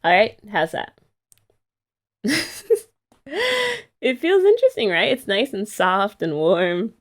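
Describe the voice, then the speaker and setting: A woman's voice: mid-range voice, very animated, slow pace. A young woman hosting an ASMR girlfriend roleplay, chatty and giggly as she rambles. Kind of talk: soft-spoken ASMR roleplay chat.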